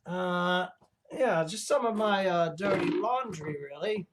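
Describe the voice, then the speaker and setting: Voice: medium pitch, slow pace, noisy background, natural voice. A performer voicing a cranky, self-important creature who poses as a professor and hosts a comedy show in a hesitant, stammering ramble. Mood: grumbling, distracted. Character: cranky fake-professor creature puppet host with claws, rambling, grandiose. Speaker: show host. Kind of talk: improvised in-character comedy monologue.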